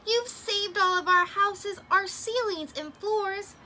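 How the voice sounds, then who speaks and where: A woman's voice: slow, slight background noise, heavily acted. A woman doing a tiny piping town mayor. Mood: grateful. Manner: high piping excited voice. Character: tiny cheerful male town mayor, grateful.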